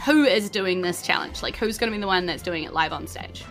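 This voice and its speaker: A woman with a New Zealand accent improvising narration as a tabletop game master in a conversational, halting way. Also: fairly low pitch. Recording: faint music behind the voice. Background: faint background music.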